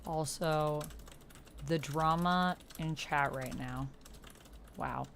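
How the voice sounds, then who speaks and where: A woman's voice: sits low, very slow, vocal fry, fairly bright tone, a little hiss or hum. A woman hosting a livestream in an effusive, chatty way, casually talking with her chat as she plays a roleplay game. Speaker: livestream host playing a text roleplay game. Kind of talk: casual livestream chat, reading chat and scenes aloud.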